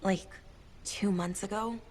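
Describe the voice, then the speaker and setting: A woman's voice: mid-range voice, steady tone, slow, a little mellow, slight background noise, natural voice. A woman playing a spirited, cheeky young noblewoman adventurer in video game cutscene acting, with a breezy, impulsive, informal manner. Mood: sheepish, brisk.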